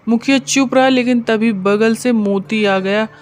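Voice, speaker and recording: A woman's voice: on the high side, measured pace. A woman narrating a scripted moral story as the narrator.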